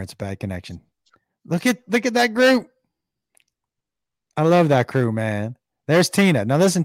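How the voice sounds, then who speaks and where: Lively, playful man on a video call. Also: medium pitch, no room sound. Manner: playful, self-deprecating. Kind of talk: casual video-call chat.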